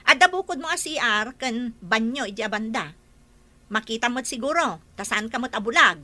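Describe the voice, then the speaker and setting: A woman voicing a sharp-tongued nanny and housekeeper who scolds and mocks the newcomers. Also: fairly high pitch, animated, moderate pace, no echo. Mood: snide. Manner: scolding, sarcastic.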